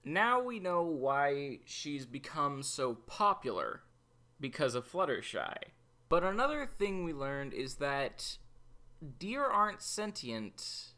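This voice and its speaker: A man hosting a review show, giving opinionated episode commentary in a deliberate way. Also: on the high side, big pitch swings, pushed and bright. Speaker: host of an animated series review show.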